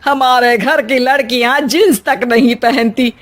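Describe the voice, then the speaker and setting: A woman's voice: high voice, steady tone, a little slow. A woman playing a scandalised, traditional middle-aged mother-in-law in a cartoon story, scolding and exclaiming.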